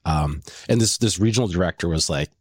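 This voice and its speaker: A middle-aged man, a company co-founder, telling stories and pitching his plans with animated enthusiasm on a podcast. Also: brisk, dry recording, studio quality. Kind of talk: casual podcast chat.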